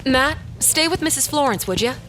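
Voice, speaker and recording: A woman's voice: sits high, animated, normal speed, background noise. An actress playing a caring, capable small-town woman in an audio drama, coaxing and practical. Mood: practical, chiding. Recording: music behind the voice.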